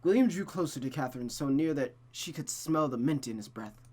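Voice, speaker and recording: A streamer with a mid-pitched voice reads a visual novel aloud, narrating expressively and adding casual asides. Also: expressive, fairly quick, darkish tone.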